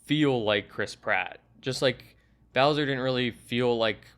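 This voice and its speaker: Smooth, clear-voiced man hosting a casual review. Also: medium pitch, measured pace, fairly bright tone. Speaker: host of a movie review.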